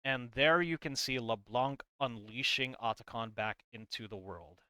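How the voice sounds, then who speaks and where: A man's voice: mid-range voice, unhurried, sharp tone, a little hiss or hum. A man giving a casual, rambling explainer commentary on game lore, as a video creator talking through what he shows. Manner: rambling, hedging, reads comic text aloud between comments.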